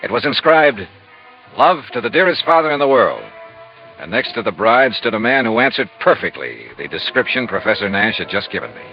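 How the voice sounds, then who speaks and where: A hard-boiled 1950s radio investigator, fast and clipped, with a lively swing in his pitch. He is dry in narration and insistent with questions, on a dull old recording. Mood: dry, intent. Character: brisk, hard-boiled male insurance investigator. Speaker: narrator and lead actor. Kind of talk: 1950s radio detective acting. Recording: dull vintage radio recording, music behind.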